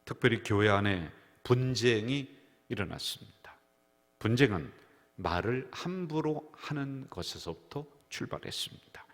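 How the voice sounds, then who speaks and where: A man's voice: medium pitch, expressive, unhurried. A man, the senior pastor, preaching a Sunday sermon in a church sanctuary, telling stories and acting out the people he quotes. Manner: storytelling preacher who acts out quoted voices. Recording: reverberant church hall.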